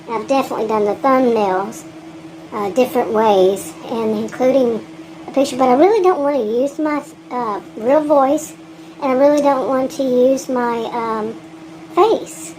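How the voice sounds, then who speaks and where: High-voiced Texan woman, frank and chatty. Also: moderate pace, warm tone, a little hiss or hum, rough recording. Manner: frank and chatty, laughs often, many restarts. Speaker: gig delivery vlogger. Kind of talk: rambling casual monologue.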